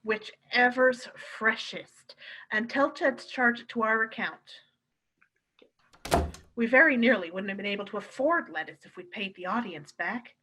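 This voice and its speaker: A woman acting in a live radio play as a brisk, firm but kindly wife who runs a cinema. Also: on the high side, sharp tone. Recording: clearly noisy streamed audio, clicks.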